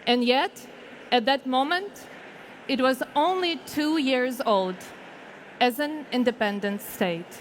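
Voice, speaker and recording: A Ukrainian woman in her thirties, whose first language is Ukrainian, giving a slow, deliberate keynote speech on a conference stage with pauses between short phrases. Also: high voice, slight background noise. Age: 30s. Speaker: foundation founder giving a keynote.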